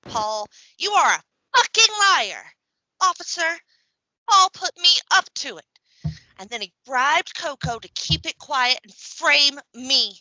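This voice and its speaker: Brash wrestling manageress played by a woman, piercingly bright and high, protesting hard in a comedy audio play. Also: dry recording, heavily acted. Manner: emphatic, foul-mouthed protesting. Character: brash, indignant female wrestling manager being framed. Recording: dry, dull lo-fi home recording.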